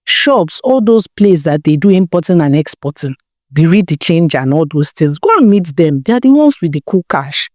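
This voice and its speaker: Woman acting scornful young Nigerian woman, worldly, blunt lecturing, low mellow voice, storybook dialogue. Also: very animated, normal speed, dry recording, studio quality. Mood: coaxing, blunt. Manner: blunt, lecturing, rhetorical questions.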